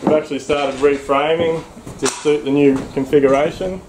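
A man in his thirties with an Australian accent, a builder explaining damage on a relaxed site walkthrough. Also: on the high side, normal speed. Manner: relaxed, explanatory, lots of ums. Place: house under renovation, indoors. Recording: echoey bare rooms, noisy.

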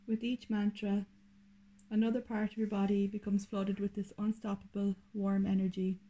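A woman leading a guided meditation in a soothing, deliberate manner, speaking in short, unhurried phrases. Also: medium pitch, little pitch movement, warm tone, low-quality recording.

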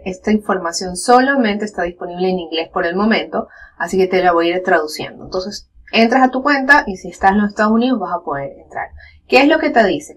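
A woman's voice: mid-range voice, a bit bright, husky. A woman in her thirties giving a chatty step-by-step screen-share tutorial from home, explaining as she goes with many fillers. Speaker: online business educator. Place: home room, webcam corner inset.

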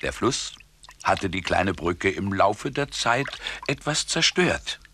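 A man's voice: deep voice, animated, slow, crowd noise. A man narrating a scripted children's story as the storyteller.

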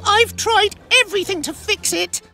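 A very high-pitched fretful little cartoon boat. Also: female voice, moderate pace, creaky, sharp tone, faint music behind, exaggerated voice. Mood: anxious, pleading. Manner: fretful, pleading. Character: anxious, flustered little sailboat. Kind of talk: animated children's cartoon acting.

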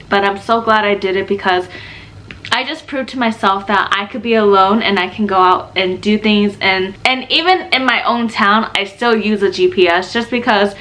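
A woman's voice: medium pitch, bright, forceful tone, slight background noise, poor audio. A young woman talking casually to her camera at home, chatty and confiding, with a stuffy nose and a little out of breath. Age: young adult. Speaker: vlogger. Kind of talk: casual vlog talk. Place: home room, indoors.

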